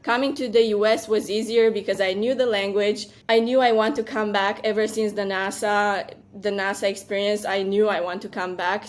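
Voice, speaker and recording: Romanian-born woman guest, measured and earnest, lots of ums, mid pitch. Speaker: venture capital partner, guest. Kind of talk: podcast interview answers.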